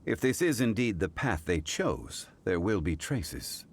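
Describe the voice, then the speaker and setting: An actor playing a calm, dignified ancient god in human form who speaks formally and deliberately. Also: male voice, sits low, a little hiss or hum, exaggerated voice. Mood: composed. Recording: game audio with music behind.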